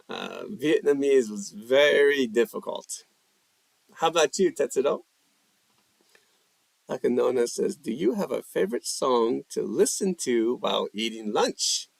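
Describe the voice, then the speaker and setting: Gravelly, unhurried man hosting a live chat. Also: medium pitch, no room sound. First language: English. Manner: unhurried, reads chat questions aloud, answers with fillers. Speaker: livestream language host. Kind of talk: casual live chat reading viewer questions.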